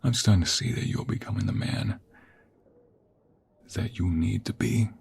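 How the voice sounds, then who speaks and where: Ageing mafia patriarch acted by a man for audio roleplay: low, rough husky voice, weary paternal authority. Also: moderate pace, darkish tone, exaggerated voice. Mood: tender, proud. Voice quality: husky, gravelly. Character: ageing mobster father, weary, stern but softening. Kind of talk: intimate ASMR audio roleplay acting.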